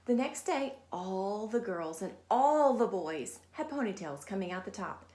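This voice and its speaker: A woman reading a children's story aloud like a teacher, in an animated storytelling style. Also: mid-range voice, unhurried. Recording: echoey, roomy sound.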